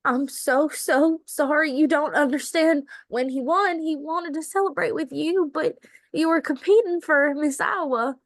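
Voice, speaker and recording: A woman's voice: high voice, unhurried, dry recording. A woman acting a guilty, anxious young beauty queen, flustered and pleading, in a table read. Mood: pleading, remorseful.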